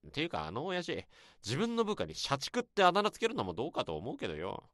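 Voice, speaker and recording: An actor playing a blunt, rough-talking senior male office worker in a radio drama. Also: a little high, wildly swooping pitch, fast, fairly bright tone, dry recording, clean studio sound. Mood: grumbling. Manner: blunt, gruff casual speech.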